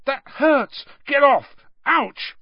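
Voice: medium pitch, wildly swooping pitch, a little slow, husky, no room sound, lo-fi sound, pitched above the performer's own voice, heavily acted. A narrator voicing an anxious, incredulous teenage schoolboy in an audiobook style. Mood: pained, pleading. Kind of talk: audiobook character voice.

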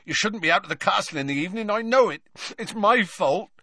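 A man voicing a huge, soft-hearted male groundskeeper, tearful and choked up, speaking in a folksy way, raised above his own voice. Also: high-pitched, lively intonation, fairly quick, breathy edge, no echo, studio quality, pitched above the performer's own voice, heavily acted.

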